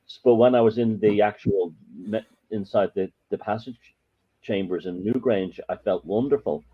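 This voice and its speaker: A man's voice: lowish voice, moderate pace, slightly rough. An Irish man, a podcast guest, telling stories in a rambling, emphatic way. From Ireland. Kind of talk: casual storytelling chat. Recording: muffled lo-fi audio.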